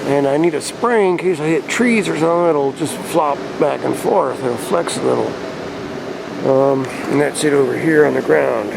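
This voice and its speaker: Gravelly, laid-back man chatting over outdoor noise. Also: a little high, moderate pace. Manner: laid-back, conversational, explanatory. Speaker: vlogger showing a DIY radio antenna install. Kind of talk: casual rambling vlog talk to camera. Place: outdoors beside his truck. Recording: clear outdoor ambient and vehicle noise.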